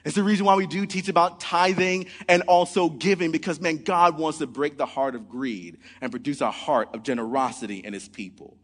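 A man's voice: a little high, brisk, few pauses, fairly bright tone, husky, in a large hall. A man in his forties preaching a sermon in earnest, often opening with "man" or "look". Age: 40s. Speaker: pastor.

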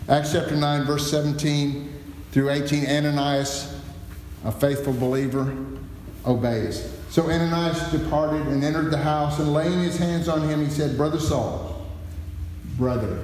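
A man's voice: a little high, darkish tone, a little hiss or hum. A middle-aged man preaching a sermon and reading scripture, earnest and teacherly. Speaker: pastor. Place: church sanctuary. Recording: very echoey hall, long reverb.

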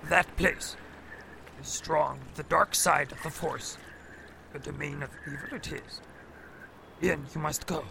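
Little old alien master, super gravelly n slow, talks backwards-ish, wise and stern, audio drama. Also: male voice, on the high side, background noise. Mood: grave, ominous. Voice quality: croaky, gravelly, strained. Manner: inverted word order, slow deliberate teaching. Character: ancient small gnarled alien Jedi master, wise and stern.

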